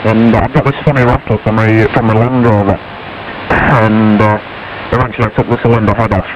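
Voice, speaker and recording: A man rambling in a halting way as an amateur radio operator, with lots of restarts. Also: fairly low pitch, little pitch movement. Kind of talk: casual radio chat. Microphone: received over HF radio. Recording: muffled shortwave radio under heavy static.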